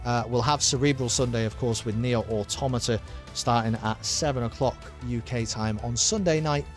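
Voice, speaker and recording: A man calling a sim race finish at a middling pitch, excited and full of filler words. His voice is fraying from a long night of commentary, and faint music plays under him. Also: moderate pace. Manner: excited, rambling, with many uh fillers. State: losing his voice. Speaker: sim racing commentator and streamer. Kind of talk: live race commentary and stream sign-off.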